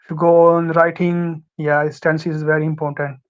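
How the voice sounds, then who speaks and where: Halting Pakistani man, clear voice. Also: fairly high pitch, little pitch movement, muffled recording. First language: Urdu. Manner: halting, many fillers and restarts. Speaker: guest, former student. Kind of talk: casual interview advice.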